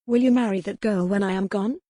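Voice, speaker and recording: A woman's voice: mid-range voice, a little fast, warm tone, dry recording, close to an everyday voice. Woman acting a proud, defiant medieval noblewoman, close-miked. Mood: curious. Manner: formal, pointed speech. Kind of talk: historical romance audiobook dialogue.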